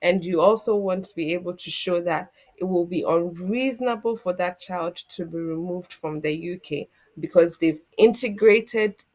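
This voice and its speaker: A woman working as an immigration solicitor, calmly explaining legal requirements step by step on a community TV programme. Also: fairly low pitch. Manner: steady, methodical, explanatory.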